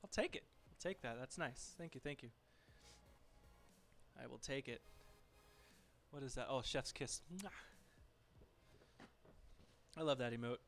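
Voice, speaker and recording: A soft-spoken man chatting casually with viewers as he cooks and cleans up in his home kitchen, reading chat messages aloud. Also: mid-range voice, a little slow, pauses often. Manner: relaxed, chatty, talks to viewers while working. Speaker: streaming home cook.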